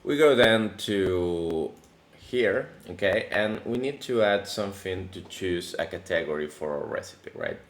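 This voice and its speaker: A man hosting a casual coding tutorial, his voice deepened by allergies, talking in a relaxed, chatty way with many fillers and restarts. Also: measured pace. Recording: echoey room with faint background noise.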